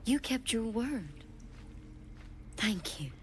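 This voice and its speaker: A sincere, thankful young druid from a fantasy video game, a little high in pitch. Faint outdoor noise hums behind her. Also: lively intonation, takes it slowly.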